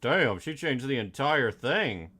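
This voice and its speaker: Bright, slow-paced male streamer. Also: mid-range voice, sing-song, a little hiss or hum. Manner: informal, exclamatory. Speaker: livestreamer reacting to a game. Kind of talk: casual reaction chat.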